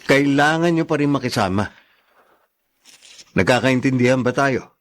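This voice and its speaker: A man's voice: medium pitch, animated, moderate pace, natural voice. A man playing a domineering, grudging Filipino husband with a curt manner in an audio drama. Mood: grudging, stern. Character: bossy, grudging adult husband lording over in-laws. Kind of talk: Filipino radio-style drama acting.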